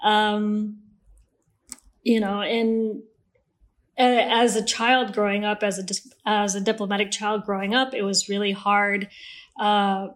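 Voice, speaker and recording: A woman from Malaysia with an American accent, talking as a podcast guest in a relaxed, talkative way with many ums and laughs. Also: mid-range voice, takes it slowly. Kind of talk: casual interview.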